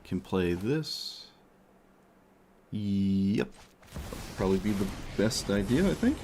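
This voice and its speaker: A man's voice: fairly low pitch, animated, takes it slowly, a little mellow, a little hiss or hum. A male streamer losing his voice, thinking aloud as he plays a card game. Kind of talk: casual gameplay commentary.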